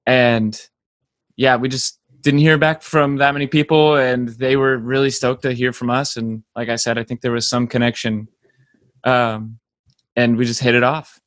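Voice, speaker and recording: A man's voice: medium pitch, flat delivery, moderate pace, fairly bright tone, dry recording, clean studio sound. A young American man chatting casually as a band member being interviewed.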